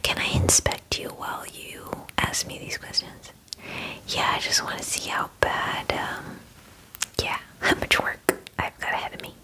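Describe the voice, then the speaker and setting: A woman in her twenties whispering an ASMR roleplay as a friendly werewolf groomer, in a breathy, hesitant, chatty way, close to the microphone. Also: a little slow, bright, forceful tone, poor audio. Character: friendly young female werewolf salon groomer. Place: small indoor room at home. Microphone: close microphone, face near camera.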